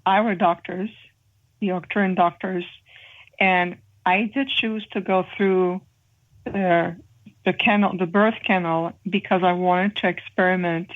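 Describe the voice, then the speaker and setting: French Canadian woman on a tinny phone line. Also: on the low side. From Canada (Montreal). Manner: earnest, measured, with frequent pauses and fillers. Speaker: guest. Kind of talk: interview guest describing spiritual experiences. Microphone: phone call.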